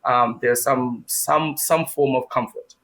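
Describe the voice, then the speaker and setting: Man, young adult, from Zimbabwe, interview guest, monotone, hesitant, many fillers. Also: mid-range voice, slow, poor audio. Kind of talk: interview answers.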